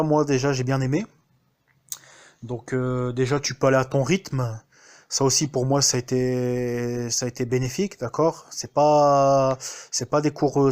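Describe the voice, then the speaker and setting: Homme hésitant, nombreuses reprises. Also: male voice, mid-range voice, a little mellow. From France. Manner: hesitant, many fillers and restarts. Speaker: student giving a testimonial. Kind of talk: casual spoken testimonial.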